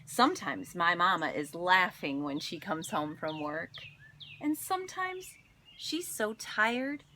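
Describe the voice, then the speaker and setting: Expressive woman reading aloud, unhurried. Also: lowish voice, slight background noise. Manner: expressive, deliberate storytelling with dramatic pauses. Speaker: teacher reading aloud to students. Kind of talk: children's story read-aloud.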